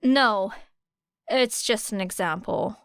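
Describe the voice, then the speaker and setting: A woman's voice: mid-range voice, slow pace, a bit bright, clear, resonant tone, no echo. A woman voicing a wary, blunt teenage schoolgirl in an audiobook, dry and insistent. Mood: guarded. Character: wary, defensive, blunt teenage girl student.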